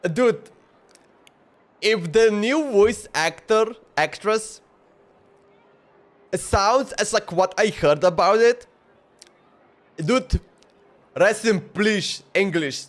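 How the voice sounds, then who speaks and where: A man's voice: sits high, lively intonation, extremely slow, long pauses. A man livestreaming a game, chatting casually and rambling as he thinks aloud and repeats himself. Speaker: game streamer.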